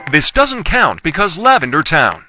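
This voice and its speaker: A man's voice: a little high, huge pitch swings, moderate pace, music in the background. A man giving casual gameplay commentary in short, repetitive declarations. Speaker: gaming video creator.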